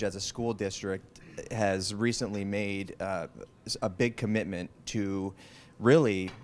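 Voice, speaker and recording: A man's voice: deep voice, a little hiss or hum. A man from Vermont presenting a school program at a local business meeting, speaking into a handheld mic with many fillers and restarts. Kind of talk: public talk at a meeting. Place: community meeting room.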